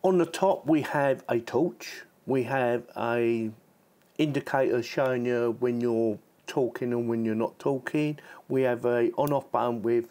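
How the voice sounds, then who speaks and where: A man's voice: medium pitch, measured pace, a little mellow. A man giving a casual, chatty hands-on product demonstration, informal and given to repeating himself. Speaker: product reviewer.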